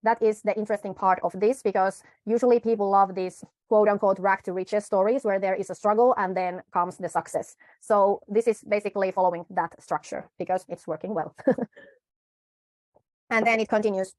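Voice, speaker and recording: Woman coaching on media pitches. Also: steady tone, a little mellow, no room sound. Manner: unhurried, with frequent pauses and self-corrections. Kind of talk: tutorial talk to camera.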